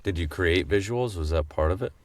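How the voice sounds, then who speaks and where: A man's voice: deep voice, brisk. A man co-hosting a podcast, chiming in casually with short remarks and questions. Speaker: podcast co-host. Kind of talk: casual chat, brief interjections.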